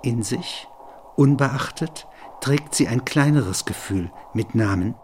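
A man narrating literary and philosophical reflections in measured, deliberate phrases. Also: mid-range voice, lively intonation, darkish tone, a little hiss or hum.

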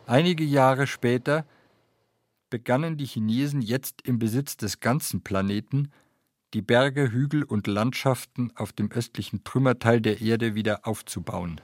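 A man's voice: mid-range voice, unhurried, a little hiss or hum. A man reading scripted prose as a narrator.

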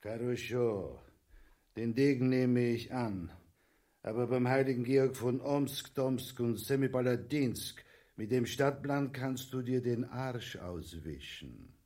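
An actor playing a coarse, imperious Russian emperor in a grotesque radio comedy, pompous, stern and contemptuous. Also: male voice, mid-range voice, takes it slowly, warm tone, lightly acted.

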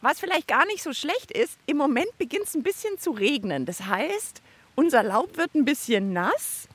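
A woman's voice: sits high, sing-song, moderate pace, a bit bright, dry recording. A woman co-hosting a children's radio show outdoors in a park, curious and playful. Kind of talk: lively kids' show presenting.

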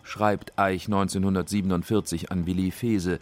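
A man narrating a scripted radio feature in a factual, measured manner. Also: sits low, flat delivery. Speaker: documentary narrator.

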